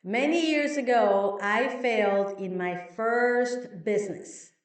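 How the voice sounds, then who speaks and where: A woman's voice: fairly high pitch, takes it slowly. A middle-aged woman from Guatemala, a business coach, telling a personal story to camera in a candid, conversational way with self-deprecating asides.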